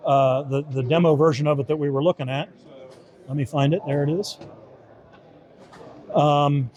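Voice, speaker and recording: A man's voice: on the high side, darkish tone. A man giving an informal, step-by-step demo and explanation of how something works. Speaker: beta test supervisor giving a product demo.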